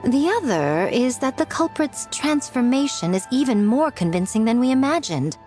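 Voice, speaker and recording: A woman playing a sly, poised shrine priestess in an anime game, speaking in a smooth, deliberate, theatrical way. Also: high voice, sing-song, darkish tone, soft background music. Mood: sly, calculating.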